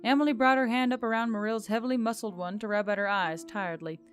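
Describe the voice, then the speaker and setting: High-voiced woman narrating over music. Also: steady tone, fast. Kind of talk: scripted narration of a fantasy story. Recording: background music under the voice.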